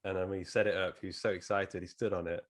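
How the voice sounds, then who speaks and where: Low-voiced man, chatty host. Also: little pitch movement, quick pace. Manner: chatty, laughs while telling stories. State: coughing. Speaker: podcast host. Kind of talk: casual chat.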